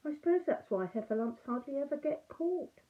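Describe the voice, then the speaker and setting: Over narrow, phone-like audio comes an earnest toy bear from a children's storybook, played by a woman. He is a slow-witted fellow who muses ponderously, with lively rises and falls in pitch. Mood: musing. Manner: ponderous, musing.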